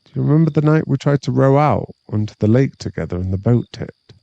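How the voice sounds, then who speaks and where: A man playing an awkward, tender former bully of nearly thirty in an intimate ASMR boyfriend roleplay, speaking with halting sincerity. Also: medium pitch, animated, gravelly, dry recording, highs cut off. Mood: fond, teasing. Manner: hesitant, halting, soft-spoken sincerity.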